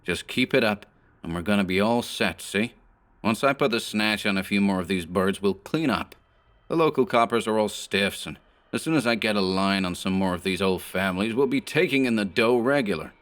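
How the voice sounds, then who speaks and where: A man's voice: lowish voice, normal speed, a bit bright, close to an everyday voice. A man voicing a short, sallow gang boss who talks in harsh, clipped tough-guy slang. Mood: smug.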